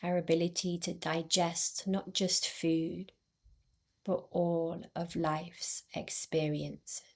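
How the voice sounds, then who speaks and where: A woman guiding a yoga nidra relaxation in a soothing, unhurried way, with long pauses between short phrases. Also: fairly low pitch, little pitch movement, gravelly, darkish tone. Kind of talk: guided meditation.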